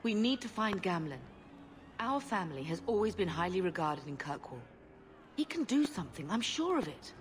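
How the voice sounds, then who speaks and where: A woman's voice: fairly low pitch, big pitch swings, moderate pace, a little hiss or hum. A woman playing an anxious, proud refugee mother in a fantasy game, speaking earnestly over music. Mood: anxious, hopeful. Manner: earnest, worried. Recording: music behind the voice.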